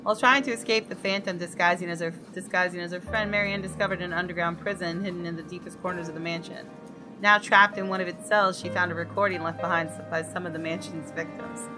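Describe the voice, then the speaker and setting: A woman's voice: fairly low pitch, steady tone, fast talker, poor audio. A woman reading visual novel text aloud and reacting casually and expressively as she plays. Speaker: gamer doing a visual novel let's play. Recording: music under the voice.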